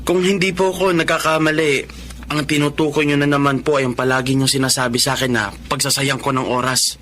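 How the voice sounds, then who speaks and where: A man's voice: medium pitch, level tone, fairly quick, fairly bright tone, slight background noise, natural voice. An actor playing a young man training to be a police officer who confronts his father, in a radio-style drama. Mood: bitter.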